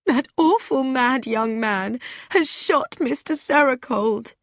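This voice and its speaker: A woman's voice: animated, slow, a little mellow, dry recording, clean studio sound. A woman voicing a tearful, anxious woman. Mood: distressed. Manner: high, tearful.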